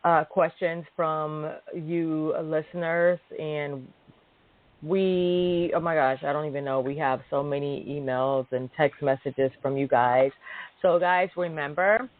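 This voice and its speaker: A woman's voice: deep voice, steady tone, slow, no room sound. A woman in her forties hosting a casual call-in podcast, chatty and informal, often repeating herself for emphasis. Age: 40s. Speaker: podcast host. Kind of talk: casual call-in show chat. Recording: narrow phone-line sound.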